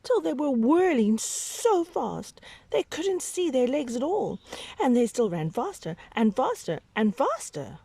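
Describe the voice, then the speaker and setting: A woman reading a picture book aloud to young children, with dramatic, drawn-out emphasis and hushed whispery moments. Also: sits high, big pitch swings, gravelly, breathy edge, no echo.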